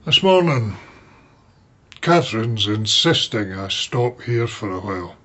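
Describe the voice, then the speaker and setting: An actor playing a forgetful, fussy older Scottish man in a radio drama, hesitant and grumbling, with a husky voice. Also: lowish voice, very animated, measured pace, a bit bright, dull audio, exaggerated voice. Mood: stoic, fussy. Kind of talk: naturalistic radio drama dialogue.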